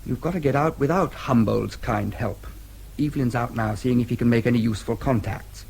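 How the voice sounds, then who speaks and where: An actor with a British accent playing a protective, politely defiant brother in a radio drama, husky, proper and firm. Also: male voice, medium pitch, a little fast, darkish tone. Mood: concerned.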